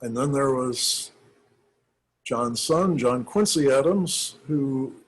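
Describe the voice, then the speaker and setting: A man, a minister, giving an informal talk on church history, thoughtful and halting with many pauses. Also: mid-range voice, slightly rough.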